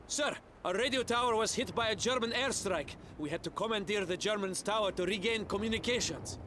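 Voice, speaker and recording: A man acting a tough Soviet field officer in a war video game. He speaks fairly high, with urgent, dutiful military bearing. Battlefield and vehicle noise runs behind him. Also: a little fast, natural voice. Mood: dutiful, tense. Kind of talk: scripted war game dialogue.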